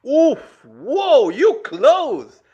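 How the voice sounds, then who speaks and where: A man's voice: extremely high pitch, very animated, very slow, a little mellow, lo-fi sound. A man from Central America co-hosting a casual show, playful and teasing. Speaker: co-host. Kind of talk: casual chat.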